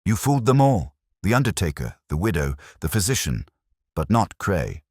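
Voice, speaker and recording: A man playing a cool, precise Victorian gentleman detective in an audiobook, probing and solemn. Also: deep voice, very animated, moderate pace, breathy edge, no echo, clean studio sound, voice pushed up, full character voice.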